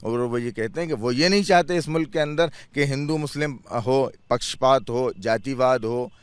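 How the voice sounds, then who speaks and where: A man's voice: mid-range voice, moderate pace, clear, resonant tone, no echo. Earnest man answering in a dry interview. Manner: earnest, repeats phrases, restarts words, pleading tone. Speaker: chairman of a clerics' board, interviewee.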